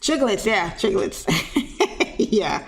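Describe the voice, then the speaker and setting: Woman, lisp, slight vocal fry, chatty, laughs a lot, addresses viewers, faint background noise. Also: medium pitch, animated, takes it slowly. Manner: chatty, laughs often, talks back to viewers. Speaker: live trading streamer. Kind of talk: casual live-stream chat.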